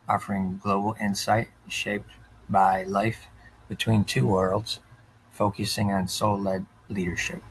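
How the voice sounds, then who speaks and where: A male podcast host with a fairly low voice and very little pitch movement reads an introduction and asks questions in a measured, steady tone. A faint hiss sits under his voice. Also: rough recording. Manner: measured, steady, with short affirmations.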